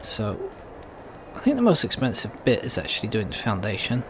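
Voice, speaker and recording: A man's voice: on the low side, huge pitch swings, brisk, background noise. A man giving casual let's play commentary, thinking aloud in a hesitant way as he goes. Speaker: gaming video host.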